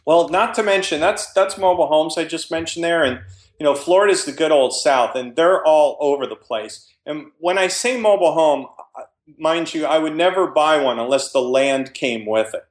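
A man's voice: on the high side, moderate pace, echoey room. A man, a real estate investor, talking casually in an interview and telling stories with frequent restarts. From New Jersey.

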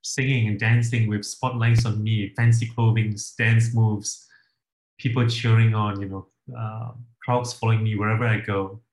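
A man's voice: fairly low pitch, little pitch movement, normal speed, darkish tone. A man from Malaysia taking part in an online group session over a video call, chatty and reflective. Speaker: workshop participant. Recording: roomy video call audio.

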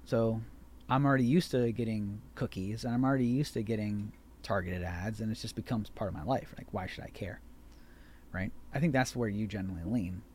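A man's voice: fairly low pitch, brisk, a little mellow, slight background noise. A man in his mid-thirties hosting a podcast, talking in a rambling, chatty way that keeps circling back and correcting itself. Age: 30s. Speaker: podcast host. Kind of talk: casual chat.

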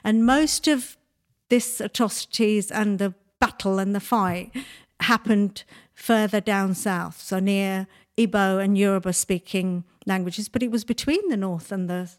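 A woman's voice: mid-range voice, unhurried. A woman in her sixties who grew up in Nigeria and speaks Bengali as her first language, telling childhood stories as a guest and laughing often. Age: 60s. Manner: lively storyteller, laughs often. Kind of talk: casual interview chat.

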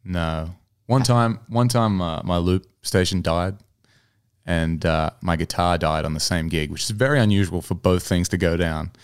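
A man's voice: sits low, normal speed, smooth. A man speaking as an interview guest, a singer-songwriter telling anecdotes in an easygoing, conversational way. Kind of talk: casual interview chat, anecdotes.

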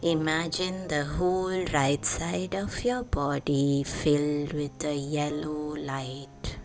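A woman leading a guided sleep meditation in a soothing, deliberate way, with pauses between short phrases. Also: low-pitched, gravelly, a little mellow. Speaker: meditation guide, podcast host. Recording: voice over background music. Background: meditation music.

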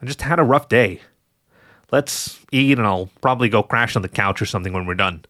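A man's voice: fairly low pitch, a little fast, no room sound, close to an everyday voice. A man voicing a tired, exasperated male jackal with a dry, sarcastic way of questioning in an audio story. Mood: weary. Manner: weary, deadpan questioning.

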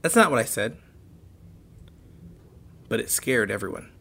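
An actress playing a worried, protective guardian in an audio drama, speaking in a stern and earnest way. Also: female voice, rumbling low, big pitch swings, unhurried, gravelly, a bit bright, a little hiss or hum, exaggerated voice. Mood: grave, subdued. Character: protective, worried adult guardian of a teenage girl. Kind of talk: naturalistic audio drama acting.

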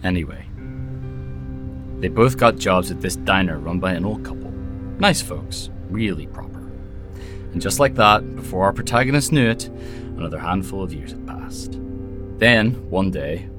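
Low-voiced, jaded male mercenary. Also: expressive, normal speed, long pauses. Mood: wistful, guarded. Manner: sardonic, storytelling about himself in third person. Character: jaded, wry male mercenary haunted by lost love. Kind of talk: audio drama acting, conversational monologue. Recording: music behind the voice.

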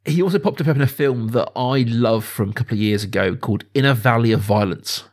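A man around his thirties or forties hosting a casual film discussion, talking enthusiastically in a halting, discursive way. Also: medium pitch. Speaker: podcast host.

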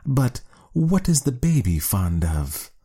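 A man voicing a proud, sly wild cat in a storybook, drawing out his words slowly. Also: mid-range voice, very animated, mellow, husky, pitched above the performer's own voice, heavily acted. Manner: slow, drawn-out and sly.

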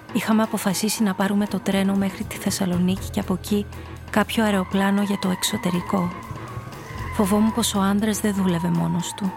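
An actress playing a hard-boiled femme fatale who narrates her downfall in a radio drama, in a measured, confessional way. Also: lowish voice, vocal fry.

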